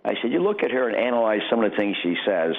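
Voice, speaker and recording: A middle-aged man talking casually as a radio interview guest, in a halting, rambling way with repeated starts. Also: fairly low pitch, sing-song, creaky. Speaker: radio guest, political satirist and candidate. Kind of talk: casual interview talk, personal anecdotes. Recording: dull lo-fi radio audio.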